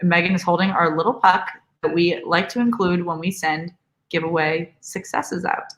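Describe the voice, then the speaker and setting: A woman's voice: lowish voice, little pitch movement, normal speed, a bit bright. A woman in her twenties from Boston, co-hosting a live hockey watch-along in a casual, chatty way. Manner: chatty, laughing, promotional asides. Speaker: co-host. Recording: roomy echo.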